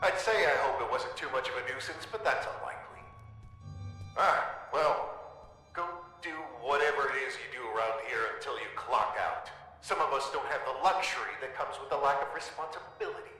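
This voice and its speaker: An actor playing a weary, condescending superior in a video game, pompous and dryly dismissive. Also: male voice, medium pitch, fairly quick, pushed and bright, faint music behind, close to an everyday voice. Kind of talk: video game character acting. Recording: very echoey hall, long reverb.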